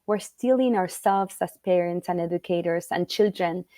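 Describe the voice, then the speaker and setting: A woman's voice: fairly low pitch, normal speed, warm tone, no echo, studio quality. A middle-aged woman from Argentina, a children's book author answering interview questions in an earnest, thoughtful way with frequent fillers and restarts. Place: at home in Utah.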